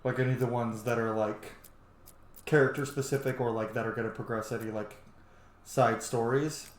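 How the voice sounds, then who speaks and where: A man's voice: mid-range voice, fairly even intonation, normal speed. A man hosting a video game playthrough, commenting informally, swearing and thinking aloud. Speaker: gaming video host. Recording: roomy echo, game music behind.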